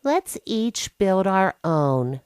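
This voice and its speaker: A woman's voice: mid-range voice, sing-song, very slow, darkish tone, clear, resonant tone, no echo, clean studio sound, voice pushed up. A woman voicing a greedy, whiny, bossy young girl pig in a children's story. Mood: whining. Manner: whining, bossy.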